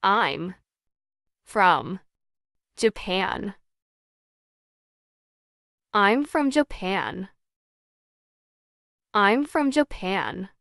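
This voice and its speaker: A woman's voice: medium pitch, sing-song, drawn-out words, creaky, long pauses, a bit bright, no echo, studio quality. A woman reading short English phrases for learners, first whole, then word by word, then whole again. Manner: careful word-by-word dictation. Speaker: English teacher. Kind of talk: slow model sentences for repetition drills.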